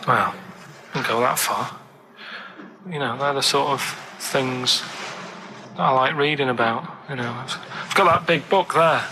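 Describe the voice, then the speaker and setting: A man chatting casually on a radio show, telling odd facts and stories in a halting, earnest, matter-of-fact way. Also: medium pitch, noisy background. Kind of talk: casual rambling radio chat and anecdotes.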